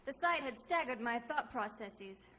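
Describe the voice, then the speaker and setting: A woman's voice: fairly high pitch, expressive, measured pace. An American actress playing a wisecracking, self-assured female private detective in a wry, theatrical 1940s radio drama style. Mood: wry narration. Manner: wry, hard-boiled, theatrical delivery. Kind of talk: 1940s radio detective drama, first-person narration and dialogue. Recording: old radio recording, reverberant, loud music.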